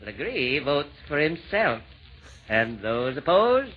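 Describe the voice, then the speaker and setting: A man's voice: fairly high pitch, sing-song, slow. An actor playing a scheming chairman of villains in an old radio comedy, speaking in formal declaimed rhyme. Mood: officious. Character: smooth-scheming male chairman of hell's villains. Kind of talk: 1940s radio comedy acting. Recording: muffled, noisy old-time radio recording.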